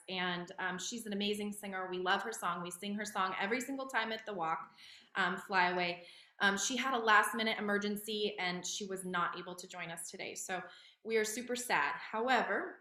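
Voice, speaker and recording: A woman's voice: mid-range voice, sharp tone, reverberant. A middle-aged woman informally hosting a virtual event from home in a casual, conversational way. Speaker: event host.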